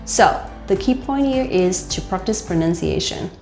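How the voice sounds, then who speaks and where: A woman's voice: medium pitch, expressive, normal speed. A young woman with a Vietnamese accent gives casual speaking tips, talking loosely with many "like" fillers. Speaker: host and life coach. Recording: roomy with faint music.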